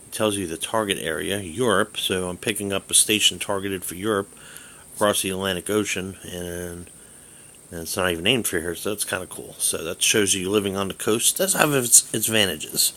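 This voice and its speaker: Male hobbyist vlogger, low-pitched and forceful in tone, casual walkthrough, meandering and hesitant, faintly noisy recording. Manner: halting, rambling, thinking aloud. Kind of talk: casual hands-on app demonstration.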